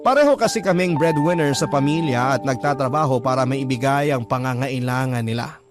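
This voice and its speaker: A man's voice: medium pitch, a little fast. A man narrating a love story in the first person, in a confiding way, speaking directly to the host. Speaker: narrator reading a listener's letter. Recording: clear music bed behind narration.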